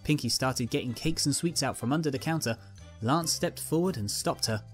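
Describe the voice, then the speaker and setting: A woman's voice: extremely low pitch, normal speed, soft background music. A woman narrating a scripted fan fiction story as its reader.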